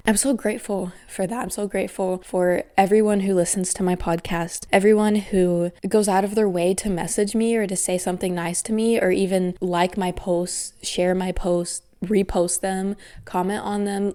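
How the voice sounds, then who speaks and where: A woman's voice: medium pitch, mellow. A young woman hosting her podcast alone, talking in an earnest, grateful, reflective way while stuffy and congested from a cold. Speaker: podcast host. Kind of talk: casual solo podcast talk.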